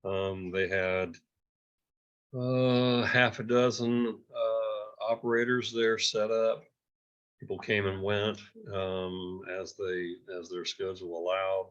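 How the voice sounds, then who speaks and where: A man giving an informal report at an amateur radio club meeting, halting and hesitant, often repeating words, in dull, muffled audio. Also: on the low side, steady tone, a bit bright.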